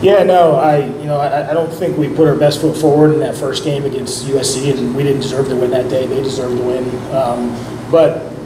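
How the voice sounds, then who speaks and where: A middle-aged man, a head coach, answering post-game questions in a measured way at a press conference table mic. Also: mid-range voice, flat delivery. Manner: measured, repeats words when starting sentences. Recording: echoey room with background noise.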